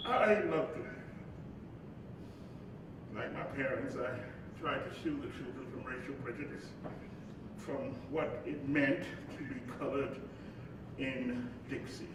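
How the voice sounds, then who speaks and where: An actor playing the spirit of Dr. King, an earnest, reflective preacher and father, in a live stage monologue with halting asides. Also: natural voice. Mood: tender, reflective. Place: live stage before an audience. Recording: echoey hall, distant mic, noisy rumble.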